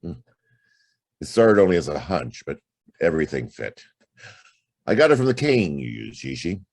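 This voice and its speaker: A hard-boiled detective played by a male actor from a noir audio drama. The voice sits extremely low and crackles with gravel, close and dry. He is laconic and wry, and his delivery moves widely in pitch. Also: big pitch swings, moderate pace, darkish tone, no room sound, full character voice. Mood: firm, weary. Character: world-weary hard-boiled private detective, adult man. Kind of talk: noir radio drama acting and first-person narration.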